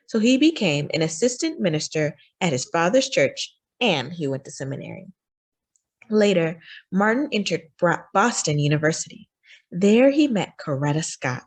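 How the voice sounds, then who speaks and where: A woman reading a children's picture book aloud as a story time host, with expressive, deliberate phrasing for young listeners. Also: fairly low pitch, breathy edge.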